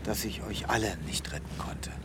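Theatrical, self-pitying animal doctor. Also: male voice, deep voice, expressive, moderate pace, creaky, heavy background noise. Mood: despairing. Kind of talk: audio drama acting. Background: music.

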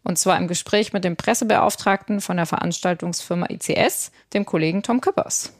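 A woman's voice: on the low side, fairly quick. A woman co-hosting a music podcast, presenting reviews and previews of events.

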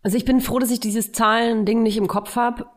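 A woman's voice: fairly high pitch, fairly quick. A woman in her early thirties co-hosting a podcast, chatting casually and poking fun at herself. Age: early 30s. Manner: self-mocking, animated, laughs at herself. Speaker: podcast co-host.

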